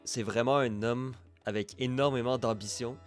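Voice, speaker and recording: A man's voice: mid-range voice, steady tone, normal speed, soft background music. A young man with a Quebec French accent, giving a casual testimonial as a college friend. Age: young adult.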